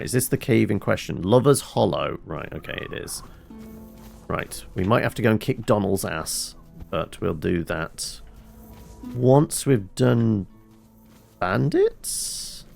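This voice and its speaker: A man's voice: sits low, vocal fry, darkish tone. A man giving wry, rambling casual commentary as he plays a game, thinking aloud. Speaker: game streamer.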